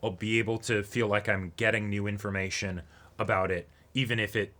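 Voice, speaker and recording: Bright-voiced man chatting about a show. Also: on the low side, little pitch movement, a little fast. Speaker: co-host of anime reaction and discussion show. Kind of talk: casual episode discussion.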